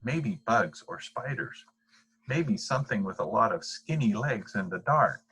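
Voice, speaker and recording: A man's voice: lowish voice, animated, a little slow, pushed and bright, slightly rough, heavily acted, rough recording. A man reading the part of an anxious, imaginative little girl in a bedtime storybook, worried and inquisitive. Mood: uneasy musing.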